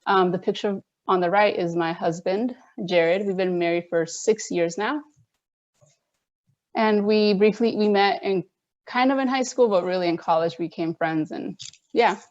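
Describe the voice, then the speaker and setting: A woman's voice: mid-range voice, normal speed, a little mellow, lo-fi sound. A woman in her thirties from Oregon, a teacher, giving a friendly, conversational presentation to parents at a virtual open house. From Oregon, United States. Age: 30s. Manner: friendly, conversational, plainly spoken. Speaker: elementary school teacher.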